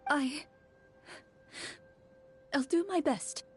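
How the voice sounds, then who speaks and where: A woman's voice: high voice, extremely slow, faint music behind. A woman voicing a worried, polite townswoman in an anime game, speaking hesitantly. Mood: grateful.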